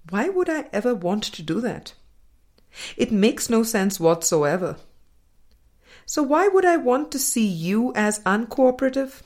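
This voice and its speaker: A woman reading a spiritual self-help audiobook in a measured, deliberate way. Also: medium pitch. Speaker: audiobook reader.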